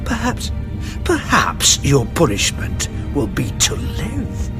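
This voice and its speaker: An actor playing a ruthless, power-hungry villain in an audio drama, taunting and theatrical in delivery. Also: male voice, fairly high pitch, huge pitch swings, slow pace, music in the background, exaggerated voice. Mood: cold taunting. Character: ruthless, sneering male villain who worships power.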